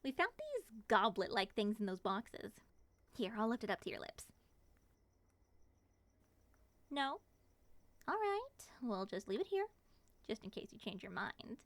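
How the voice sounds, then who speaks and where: A woman playing a playful, bubbly moth-human monster in an ASMR roleplay, coaxing and teasing in an expressive way. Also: a little high, moderate pace, dry recording.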